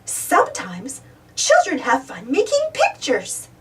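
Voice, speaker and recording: A woman voicing a welcoming librarian in a storybook, warm and instructive. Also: sits high, wildly swooping pitch, takes it slowly, far from the mic, heavily acted.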